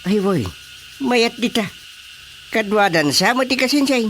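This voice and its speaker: A man's voice: very high-pitched, lively intonation, a little slow, fairly bright tone, full character voice. An easygoing middle-aged village father in a radio comedy drama. He speaks folksy talk in an Ilocano accent, with very varied intonation over a light bed of ambient noise. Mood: admiring, animated.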